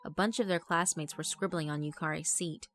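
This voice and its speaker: A woman narrating a horror visual novel in a measured, dramatic storytelling manner. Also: deep voice, steady tone, fairly bright tone, soft background music.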